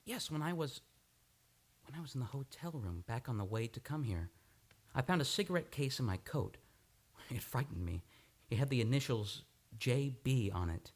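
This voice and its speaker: An actor playing a tormented man with amnesia in an old-time radio drama, halting and overwrought as he believes himself a killer. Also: medium pitch. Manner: halting, overwrought delivery with repeated words.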